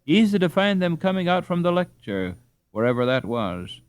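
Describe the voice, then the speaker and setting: A man voicing an excitable, well-meaning Dutch peasant mother in a storybook, breathless and rambling. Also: fairly high pitch, normal speed, dry recording, voice pushed up. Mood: earnest.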